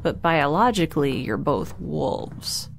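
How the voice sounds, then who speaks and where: A woman's voice: sits low, very animated, takes it slowly, vocal fry, soft background music, exaggerated voice. A woman playing a blunt, naive young scientist with a sarcastic, matter-of-fact manner in a naturalistic audio drama. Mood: curious, blunt.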